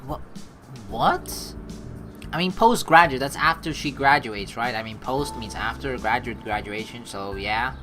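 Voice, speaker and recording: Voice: mid-range voice, animated, measured pace, a bit bright. A let's play host from the United States, reading a visual novel aloud and rambling through running commentary over game music. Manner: rambling, thinks aloud, restarts and asides.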